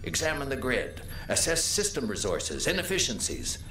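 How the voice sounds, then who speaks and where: A man's voice: medium pitch, huge pitch swings, normal speed. An actor playing an earnest, ambitious male computer program in a video game, speaking a reflective, dramatic private log. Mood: elated. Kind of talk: video game audio diary monologue. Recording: echoey, with music behind.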